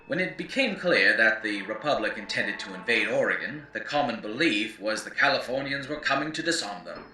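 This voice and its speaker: An actor playing a grave, eloquent chronicler of a ruined world, narrating lore in formal, theatrical oratory for an audio drama. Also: male voice, lowish voice, expressive, fairly quick, sharp tone, echoey room, far from the mic, slight background noise, low-quality recording. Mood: grave, dramatic. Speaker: narrator and host. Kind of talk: scripted in-character lore narration for an audio series.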